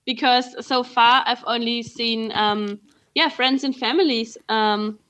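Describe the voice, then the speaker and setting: A woman's voice: fairly high pitch, fairly even intonation, slow pace, fairly bright tone. A woman chatting casually as a podcast guest, a little hesitant and giggly. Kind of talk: casual chat.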